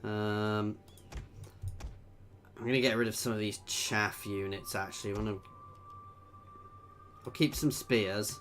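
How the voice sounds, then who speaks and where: Chatty low-voiced gamer. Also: male voice, steady tone, takes it slowly, pauses often, fairly bright tone, faint music behind. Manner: chatty, thinking aloud, reads out chat messages. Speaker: gameplay video creator. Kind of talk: casual strategy game commentary.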